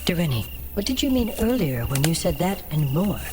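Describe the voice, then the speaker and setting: An actress plays an exhausted female adventurer in a dark fantasy audio drama. Her voice is fairly low for a woman and swings expressively as she complains, worn out. Music plays clearly underneath. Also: very animated, moderate pace. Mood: questioning. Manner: tired, complaining.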